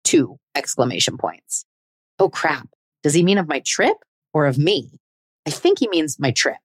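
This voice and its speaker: A woman's voice: low voice, big pitch swings, a little slow, breathy edge, no room sound, studio quality. A woman in her forties hosting a podcast, telling her own dating story in a candid, chatty, self-deprecating way. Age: 40s. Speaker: podcast host. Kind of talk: scripted personal narration.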